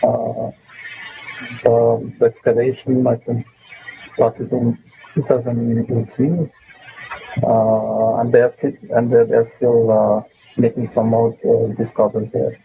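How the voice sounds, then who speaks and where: A man's voice: medium pitch, level tone, unhurried, gravelly, mellow, a little hiss or hum, poor audio. A man from Morocco, a native Arabic speaker with a Moroccan accent, answers interview questions in a hesitant way with many fillers and repeated words. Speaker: tourism board representative.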